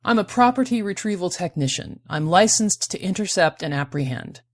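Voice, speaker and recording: A cool, wary bounty hunter from a space story, acted by a woman reading aloud. She is a woman with a low voice, matter-of-fact and sure of herself in audiobook dialogue. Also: lively intonation, moderate pace, no echo. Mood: cool, dry. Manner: matter-of-fact, self-assured. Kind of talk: audiobook character voice.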